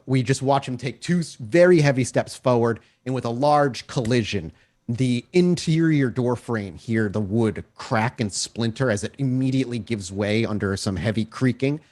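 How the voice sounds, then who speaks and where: A man's voice: medium pitch, a little mellow. A man running a tabletop role-playing game, improvising descriptive narration in a conversational way as storyteller. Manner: descriptive, conversational, checks in with players.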